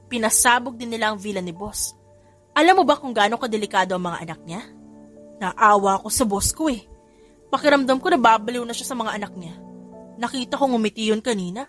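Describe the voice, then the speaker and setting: A woman's voice: a little high, a bit bright. A woman voicing an exhausted grumbling secretary. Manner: weary, grumbling. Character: exhausted, complaining young adult secretary.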